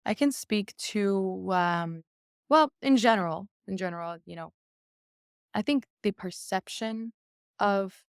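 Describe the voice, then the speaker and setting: Young woman, Persian native speaker, smooth voice, dry recording, casual chatty guest. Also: mid-range voice, takes it slowly, dry recording. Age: young adult. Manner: chatty, candid, with frequent fillers and restarts. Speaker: interview guest, violinist. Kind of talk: casual podcast conversation.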